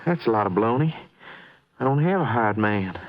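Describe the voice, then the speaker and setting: Male actor as folksy rancher, blunt, middling pitch, muffled old radio recording. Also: animated, a little fast, lightly acted. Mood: plain, dismissive. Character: injured male rancher, plainspoken and dismissive. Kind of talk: 1950s radio acting. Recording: dull vintage radio recording.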